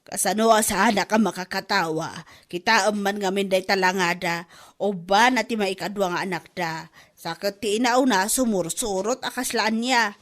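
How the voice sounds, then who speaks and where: A woman's voice: medium pitch, moderate pace, lightly acted. A woman with an Ilocano accent playing a feisty, exclamatory village woman in a comedy drama. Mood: complaining.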